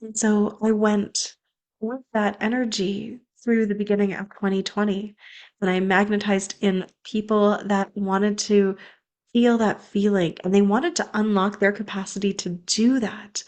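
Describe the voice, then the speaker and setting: A woman's voice: mid-range voice, level tone, unhurried, a little mellow. A young woman, a spiritual coach, speaking in an earnest, flowing, affirming way in an inspirational monologue.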